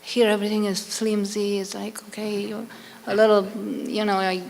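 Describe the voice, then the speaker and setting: A woman's voice: medium pitch, flat delivery, normal speed, vocal fry, a little mellow. A middle-aged woman from Romania with a Romanian accent, speaking earnestly and effusively at a public meeting, sometimes searching for words. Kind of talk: public comment at a consultation meeting. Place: public consultation meeting in a hall. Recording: reverberant hall, faint hum.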